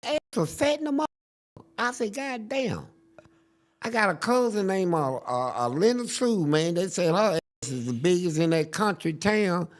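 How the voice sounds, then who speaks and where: A man's voice: high voice, moderate pace. An older man, a guest in a casual interview, telling crude, boastful stories and acting out what others said. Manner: crude, boastful, animated storytelling with acted-out quotes.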